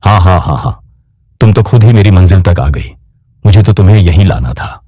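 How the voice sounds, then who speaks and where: A man's voice: sits low, expressive, moderate pace, dark tone, no echo, clean studio sound. A man voicing a villainous senior officer in a storybook tale, taunting and threatening with gloating menace. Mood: cackling, sinister.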